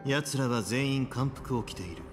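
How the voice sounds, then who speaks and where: An actor playing a composed, authoritative military official in a Japanese video game, speaking solemnly and deliberately in formal language. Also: male voice, medium pitch, lightly acted. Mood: grave, composed. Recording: game music and background noise. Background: game music.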